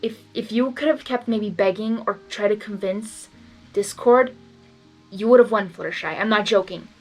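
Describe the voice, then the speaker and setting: A woman's voice: mid-range voice, normal speed, darkish tone, soft background music, low-quality recording. A woman narrating fan fiction expressively as an amateur reader, breaking into informal, emotionally involved reactions and stumbling over words now and then.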